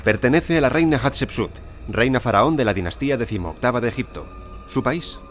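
A male actor playing a self-assured, courteous gentleman archaeologist in an audio drama, formal and precise. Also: medium pitch, expressive, brisk, lightly acted. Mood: coolly commanding. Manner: formal, polite, unruffled, precise. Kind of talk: audio drama acting and first-person narration. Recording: music behind the voice.

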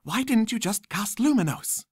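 A man voicing a bookish, bossy young schoolgirl witch with a prim, brisk, lecturing manner. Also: high voice, animated, slightly rough, dry recording, clean studio sound, voice pushed up. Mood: indignant.

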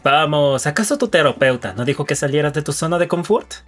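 A man playing a cheerful, coaxing teenage boy in an anime fandub, with a husky, gravelly voice and a Latin American accent. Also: on the high side, expressive, fairly quick, a bit bright, soft background music. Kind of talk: anime comic fandub acting.

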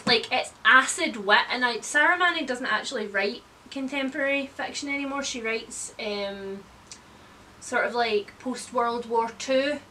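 A woman with a very bright, forceful voice that sits a little high. She talks casually as a book reviewer, blunt and wry, happy to give her opinion. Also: measured pace, slight background noise, rough recording. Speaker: book reviewer, video host. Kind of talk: casual chatty book discussion. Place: indoors, room lined with bookshelves.

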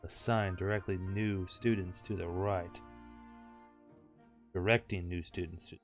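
A man with a fairly low voice reads a visual novel aloud as a gaming host. He is irreverent and crude, breaking off for joking asides, with clearly audible music playing behind him. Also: fairly even intonation, unhurried. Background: game music.

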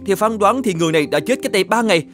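A quick, high-voiced dutiful officer. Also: male voice, expressive, music in the background. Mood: dutiful, earnest. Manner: deferential, brisk reporting. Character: dutiful, deferential male officer serving the governor. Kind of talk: animated folk tale dubbing.

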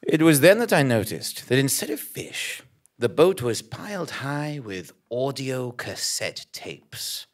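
Man playing a pompous journalist, wildly animated pitch. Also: takes it slowly. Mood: pompous narration. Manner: pompous, melodramatic. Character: self-important, easily terrified male investigative journalist narrator. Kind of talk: comic first-person narration in live audio drama.

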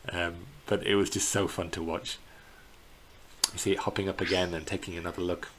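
A middle-aged man giving an unscripted slideshow narration as a tour guide, in a hesitant, halting way, recorded indoors on a computer microphone. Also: deep voice, little pitch movement. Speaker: bird tour guide presenting a virtual tour. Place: indoors in a small plain room. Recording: clear background noise.

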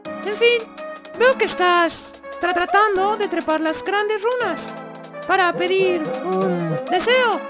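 Woman as very high, halting cartoon brick creature. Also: expressive, over music, exaggerated voice. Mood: grave, amused. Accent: Latin American. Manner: halting, theatrical. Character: odd, theatrical, self-pleased cartoon brick creature. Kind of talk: animated fan dub acting.

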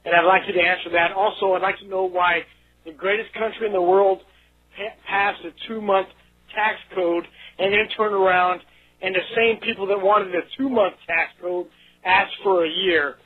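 A man leaves an opinionated voicemail over a dull, lo-fi phone line. His voice is high for a man and rough-edged. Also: fairly even intonation, normal speed. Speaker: voicemail caller. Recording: muffled lo-fi telephone voicemail.

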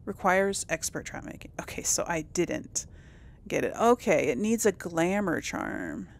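A woman's voice: fairly low pitch, sing-song, vocal fry, a little hiss or hum. A woman casually commenting on her gameplay, musing aloud and talking to herself. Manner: slow, musing, talks to herself. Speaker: gameplay host. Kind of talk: casual let's play commentary.

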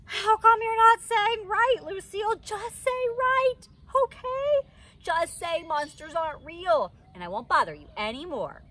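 A woman's voice: extremely high pitch, lively intonation, unhurried, sharp tone, pitched above the performer's own voice, exaggerated voice. A woman voicing an anxious, pestering little schoolgirl from a children's storybook, pleading and repeating herself insistently. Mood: pleading, exasperated. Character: anxious, pestering little schoolgirl narrating her own troubles. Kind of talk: children's storybook read-aloud, dramatized. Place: outdoors in a wicker pod chair under trees. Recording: outdoor ambient noise.

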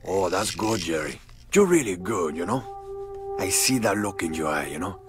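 An actor playing a brash, menacing Cuban gangster boss in a video game, with a cocky, profane swagger. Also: male voice, low-pitched, sing-song, moderate pace, music in the background. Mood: sly, needling. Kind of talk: video game cutscene acting.

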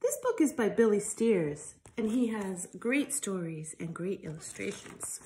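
A middle-aged woman reading a children's storybook aloud in an expressive, emphatic storytelling style. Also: mid-range voice, unhurried. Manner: animated, emphatic delivery with sound effects. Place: living room by a fireplace, indoors.

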